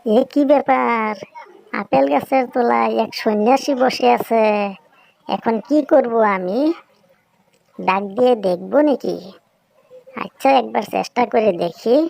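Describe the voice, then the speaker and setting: A woman plays a dutiful little father tailorbird from a cartoon. He is timid, courteous and hesitant, with a fairly high voice and a dry sound. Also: a little slow, creaky, long pauses, a little mellow, dry recording. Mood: hesitant, pleading. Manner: polite, hesitant, apologetic. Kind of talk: Bengali cartoon character dialogue.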